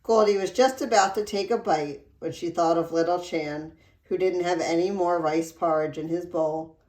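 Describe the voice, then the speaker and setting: A woman reading a children's storybook aloud in an expressive, deliberate storytelling manner. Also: fairly low pitch. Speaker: read-aloud narrator. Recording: roomy echo.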